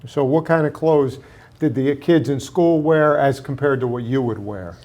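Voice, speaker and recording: Male interview host; steady, prompting questioner. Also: medium pitch, normal speed. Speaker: host, managing partner of a law firm. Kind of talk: interview hosting.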